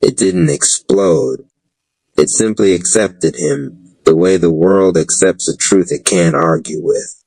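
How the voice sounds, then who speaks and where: A man narrating a scripted dark fantasy audio drama in a measured, dramatic spoken-word style. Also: low voice, no room sound, low-quality recording.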